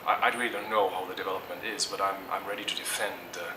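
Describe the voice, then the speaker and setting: A man's voice: sits low, fairly even intonation, quick pace. A middle-aged man from Germany answers interview questions thoughtfully, hesitating and restarting often. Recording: echoey, distant mic, noisy background.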